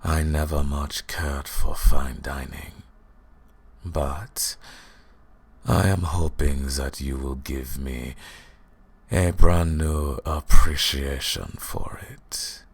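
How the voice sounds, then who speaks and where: A man voicing a cruel, mocking vampire lord in an ASMR roleplay, speaking slowly and deliberately with a gravelly, breathy voice that drops into whispers. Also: a bass voice, fairly even intonation, full character voice. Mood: sadistic relish. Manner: slow, deliberate, taunting, dropping into whispers.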